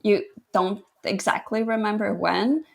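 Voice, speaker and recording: A woman's voice: mid-range voice, fairly even intonation, a little slow, creaky. A woman in her twenties from Latvia, a guest photographer telling her story in a modest, laughing way. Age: 20s. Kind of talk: casual interview chat.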